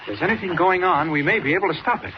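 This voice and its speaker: An actor playing a polite, level-headed young newspaper reporter in 1940s radio drama style. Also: male voice, sits high, lively intonation, very fast. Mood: earnest. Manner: polite, unassuming, reasonable. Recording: muffled, noisy old radio recording.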